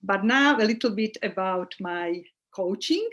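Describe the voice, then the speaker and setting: A middle-aged woman from Slovakia speaks English with a Slovak accent, her voice sitting at an ordinary middle pitch. She talks earnestly about coaching, with small restarts as she searches for words. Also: expressive, measured pace, fairly bright tone. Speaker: holistic well-being coach, guest. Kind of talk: casual interview chat.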